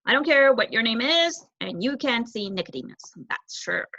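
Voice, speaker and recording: A woman's voice: fairly high pitch, animated, normal speed, bright, forceful tone, exaggerated voice. A woman voicing a blunt, bossy, oversized young male rat on guard in a storybook reading. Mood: annoyed. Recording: roomy echo, dull muffled sound.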